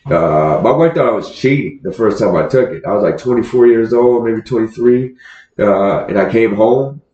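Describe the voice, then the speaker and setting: A man hosts a loose, casual chat in a low voice, swearing freely and laughing between stories. The sound is roomy and echoey, and it comes across dull and muffled. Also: normal speed, darkish tone. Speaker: podcast host. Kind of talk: casual conversation.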